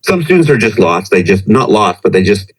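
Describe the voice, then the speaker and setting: A middle-aged man with a very low, deep voice speaks as a guest on a podcast. He talks in a thoughtful, searching way, full of fillers and restarted phrases as he reflects on teaching. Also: sing-song, brisk, breathy edge, low-quality recording. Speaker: professor, English teacher in Japan. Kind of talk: conversational podcast interview.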